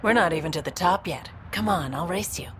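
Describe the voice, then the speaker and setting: A woman playing a bold, teasing adventurer in a video game, brisk and challenging in her delivery. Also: on the low side, lively intonation, slight background noise, close to an everyday voice. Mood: playful challenge. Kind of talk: video game dialogue acting.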